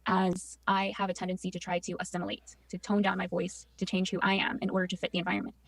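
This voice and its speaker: A woman speaks quickly and earnestly, reflecting on a guest with real feeling. She sits slightly back from the mic. The recording is dry and played back from a podcast file. She sometimes circles back and repeats her phrases. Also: on the low side, completely flat delivery, no room sound, far from the mic, low-quality recording. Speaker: podcast co-host.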